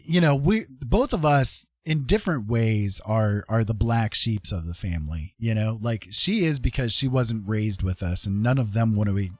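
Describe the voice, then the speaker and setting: A man's voice: on the low side, no echo. A man chatting casually as a livestream host, rambling conversationally and often checking in with his listeners. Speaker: live streamer host.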